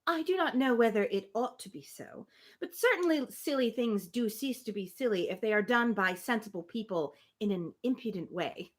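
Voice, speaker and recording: A woman's voice: a little high, moderate pace. A woman hosting a live reading of a classic novel, reading expressively with casual, amused asides. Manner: expressive reading, breaks off for informal amused commentary. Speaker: host reading a novel aloud on a livestream.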